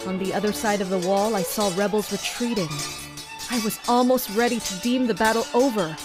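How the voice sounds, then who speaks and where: A woman's voice: mid-range voice, fairly quick, close to an everyday voice. A woman playing a tense, commanding castle fighter in a first-person dramatic narration. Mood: tense, watchful. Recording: music behind the voice. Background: music.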